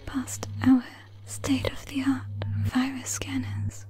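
A woman playing a gentle, caring robot-repair nurse in an ASMR roleplay, with a soft breathy whisper. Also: high-pitched, completely flat delivery. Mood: worried, tender. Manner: slow, soothing, reassuring.

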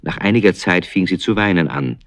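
A man's voice: deep voice, animated, brisk, no room sound, studio quality. A man narrating a children's radio play as a storyteller. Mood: storytelling. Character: male storyteller of a children's tale.

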